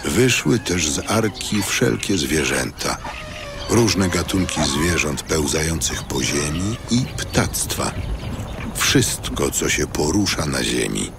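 A male narrator with an extremely deep, gravelly voice reads scripture solemnly, in measured phrases. Clear ambient sound effects run behind his narration. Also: expressive, background noise.

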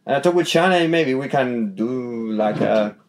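A man's voice: mid-range voice, animated, normal speed, a little hiss or hum. A man from Chile, a podcast guest, talking casually with many fillers and restarts. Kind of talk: casual interview talk.

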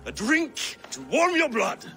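An older male actor playing a weary, defensive old Viking father and fallen king in a video game, halting and formal. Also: extremely high pitch, wildly swooping pitch, pushed and bright, full character voice. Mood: surprised, welcoming. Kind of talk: dramatic video game acting.

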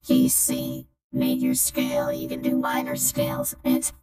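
A man's voice: huge pitch swings, a little slow, over loud music, full character voice. Man as a voice-changed young robotic woman. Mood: casual, robotic. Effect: voice changer: female, robotic, pitch-tuned, delay. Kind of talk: casual software demonstration.

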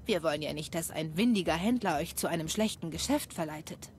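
A woman's voice: low voice, expressive, brisk, very noisy. An actress voicing an ambitious young female townswoman trader in a game, speaking earnestly and friendly. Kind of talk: game voice acting.

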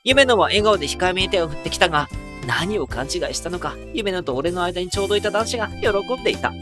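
A man playing a wry, self-mocking high school boy who narrates an anime audio drama with dry asides. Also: animated, fast, music in the background. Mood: musing.

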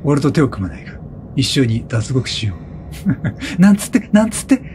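A man giving casual, excitable let's play commentary, chatty and reactive, often repeating short phrases. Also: medium pitch, moderate pace, a little hiss or hum. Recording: game music behind the voice. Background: video game music.

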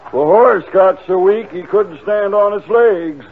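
An actor playing a droll farmer who talks in rustic tall-tale style in a 1940s radio comedy. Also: male voice, sits high, measured pace. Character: droll male farmer giving folksy testimony. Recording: tinny 1940 radio broadcast.

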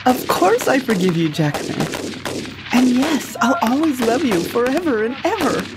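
A woman's voice: a little high, very animated, moderate pace, heavy background noise. An actress playing a defiant, fretful woman rebel in love in a sci-fi audio drama. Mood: affectionate.

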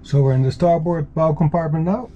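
A man's voice: fairly high pitch, a little fast, warm tone. A man giving an informal explanatory walkaround as a boat owner, with somewhat hesitant, pieced-together phrasing. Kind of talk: informal explanatory tour commentary. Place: aboard a sailing catamaran in a marina, in bow compartments and on deck. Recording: clear outdoor and engine-like background noise.

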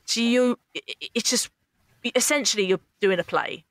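A woman's voice: on the high side, moderate pace, fairly bright tone, no echo. A woman talking casually about her work as a writer and actor. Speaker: scriptwriter and actor. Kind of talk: casual behind-the-scenes chat.

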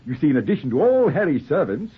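An actor playing a breezy, flippant middle-aged runaway husband in a 1940s radio play, with glib, dryly witty, theatrical delivery. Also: male voice, a little high, big pitch swings, quick pace, breathy edge, heavily acted. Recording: muffled, scratchy 1940s radio recording.

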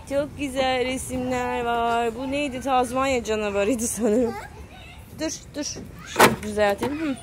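A woman with a high voice films a casual family vlog on her phone. She speaks slowly, with a sing-song lilt when calling out to children. Clear outdoor noise sits behind her at the playground. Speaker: parent filming a family vlog. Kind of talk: casual chat to camera and children. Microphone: handheld phone camera. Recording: noisy outdoor phone recording.

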